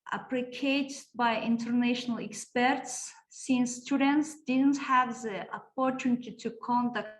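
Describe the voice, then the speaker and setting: A woman from Kazakhstan, presenting a teaching project at a conference and pausing often to search for words. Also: on the high side. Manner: halting, searching for words, many hesitations. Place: conference room. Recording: echoey hall.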